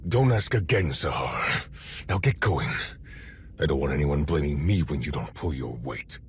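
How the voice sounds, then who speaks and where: A man's voice: a bass voice, animated, moderate pace, a little hiss or hum. An actor playing a stern, brooding, loyal male warrior with a curt manner in a video game. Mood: stern, resigned. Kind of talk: cinematic video game acting.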